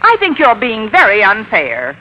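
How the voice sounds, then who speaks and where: An actress playing a sardonic, wisecracking English teacher with dry, pedantic delivery and wounded pride, in vintage radio comedy style. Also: female voice, on the high side, wildly swooping pitch, moderate pace, dull audio. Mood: indignant. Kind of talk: 1940s-50s radio comedy acting. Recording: faintly noisy old radio recording.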